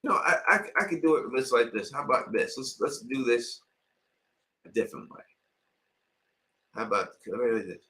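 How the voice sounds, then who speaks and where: A man's voice: mid-range voice, very animated, normal speed, pauses often, sharp tone. A middle-aged man from Queens hosts a casual live-stream Q&A, rambling and leaning on filler phrases. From Queens, New York.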